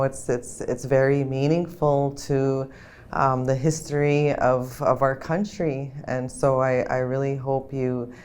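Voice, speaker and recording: A woman's voice: a bass voice, darkish tone, slight background noise. A woman host who grew up in Norway House, Manitoba, reflecting earnestly on what she has heard. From Norway House, Manitoba, Canada. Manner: earnest, searching, with fillers and restarts. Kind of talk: casual reflective talk.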